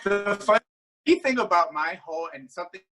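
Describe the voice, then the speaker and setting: A man's voice: high voice, expressive, normal speed, pushed and bright. A man with a Puerto Rican accent, a drag performer talking in a sassy, campy way in a casual interview. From New Jersey.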